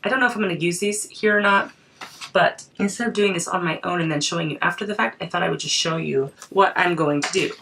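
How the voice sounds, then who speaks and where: A woman's voice: fairly low pitch, fast, vocal fry, fairly bright tone, recorded from a distance, slight background noise. Woman with a cold, chatting while crafting. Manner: chatty, thinks aloud, frequent restarts. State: voice affected by a cold. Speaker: creativity coach. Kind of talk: casual crafting vlog.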